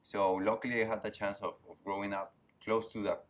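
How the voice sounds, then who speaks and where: A Costa Rican man with a Spanish accent, a biologist guest speaking haltingly as he searches for words. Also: on the low side, level tone, slight background noise. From Costa Rica. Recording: outdoors with faint ambience.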